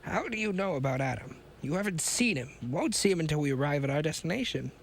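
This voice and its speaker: A man voicing a huge, shaggy, frightened scientist with a German accent who talks in hushed whispers. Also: a little high, lively intonation, fast, gravelly, a little hiss or hum, voice pushed up. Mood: urgent.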